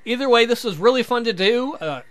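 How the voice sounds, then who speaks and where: A man's voice: very high-pitched, animated, a little fast, fairly bright tone, faint music behind. A man hosting a let's play with casual, joking, sweary commentary. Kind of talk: casual game playthrough commentary and narration.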